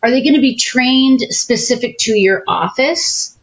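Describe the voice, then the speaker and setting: A woman's voice: sits high, a little slow. A woman consultant as a podcast guest, explaining things in a friendly, coach-like way. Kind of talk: casual interview chat.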